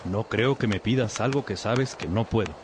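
An actor playing an obedient young novice, earnest and respectful, in a religious radio drama. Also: male voice, on the low side, moderate pace, noisy background, lightly acted. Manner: respectful, deferential.

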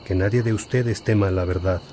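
An actor playing an elderly, gentle bishop who exhorts like a father in a radio drama. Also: on the low side, slight background noise, natural voice. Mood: fatherly, earnest. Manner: paternal, exhorting, deliberate.

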